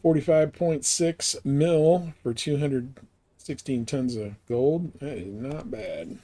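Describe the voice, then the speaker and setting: A man's voice: medium pitch, slow, mellow. A man giving casual, laid-back gameplay commentary on a live stream, talking half to himself and half to viewers. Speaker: game streamer.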